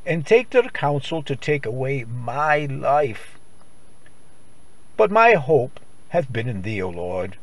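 A man's voice: mid-range voice, a little mellow, dry recording. A man preacher reciting a psalm in a solemn, declamatory old-time gospel radio style. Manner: solemn, declamatory, archaic scriptural delivery.

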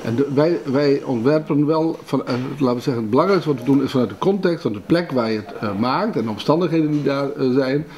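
Middle aged dutch architect guy, soft dark tone, halting interview answers. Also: medium pitch. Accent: Netherlands Dutch. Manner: halting, searching for words. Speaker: architect leading a firm. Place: indoor design office.

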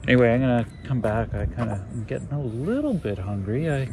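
A man's voice: medium pitch, sing-song, a little fast, creaky, dark tone. A man in his forties talking casually to a handheld camera, rambling and correcting himself as he vlogs from his van and campsite. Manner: rambling, self-correcting, chatty. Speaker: van life vlogger. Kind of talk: casual vlog talk to camera. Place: inside a van and outdoors at a lakeside campsite. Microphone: handheld camera at arm's length. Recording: noisy handheld camera audio with car sounds.

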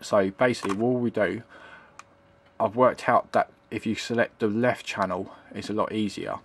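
A man's voice: on the low side, steady tone, moderate pace, creaky, slight background noise. A middle-aged man giving a casual, unscripted tutorial in a rambling, hesitant way, often repeating himself. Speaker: hobbyist demonstrating retro computing. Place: indoors at a home computer desk. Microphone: handheld camera's built-in microphone.

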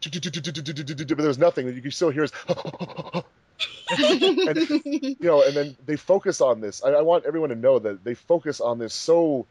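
A man's voice: on the high side, expressive, measured pace, darkish tone, a little hiss or hum. A man chatting casually as a director on a group commentary track.

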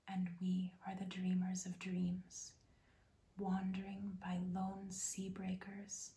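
Slow whispering woman reading poetry. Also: mid-range voice, creaky, roomy sound. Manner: soft unvoiced whisper throughout. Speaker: host.

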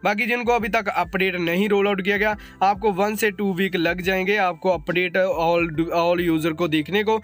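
A man's voice: high-pitched, fairly even intonation, normal speed, hardly any pauses, a bit bright. A man hosting a tech update voice-over, addressing viewers informally and mixing in English terms. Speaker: tech channel host. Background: background music.

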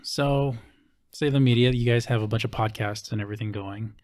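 A man's voice: medium pitch, a little fast, darkish tone. A middle-aged man hosting a podcast, chatting informally and giving his opinions into a close studio mic. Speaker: podcast host. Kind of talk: casual podcast chat. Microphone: close studio mic on stand, headphones.

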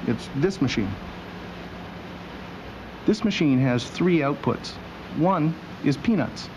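A man narrating a scripted documentary as host, with a wry, deadpan sense of humor. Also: mid-range voice. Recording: dull old video soundtrack with machinery noise.

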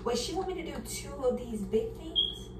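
A woman's voice: high voice, animated, normal speed. A woman in her early twenties chatting casually and candidly to viewers as she cooks, with informal, slangy speech. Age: early 20s. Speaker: cooking vlogger. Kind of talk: casual chat to viewers while cooking. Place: home kitchen, indoors. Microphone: camera on counter, a few feet away. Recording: roomy, distant kitchen recording with background noise.

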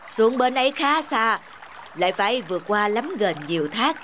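An actor playing a weary, wise old male boatman in an animated fable, speaking in a grave, measured, admonishing way. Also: medium pitch, slight background noise, close to an everyday voice. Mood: cautious. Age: older. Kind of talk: animated moral fable dubbing. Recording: loud music behind the voice.

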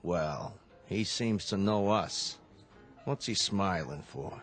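An actor playing a cocky, threatening mob boss in a radio drama with music underneath. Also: male voice, low voice, takes it slowly, fairly bright tone. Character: menacing male mob boss, middle-aged.

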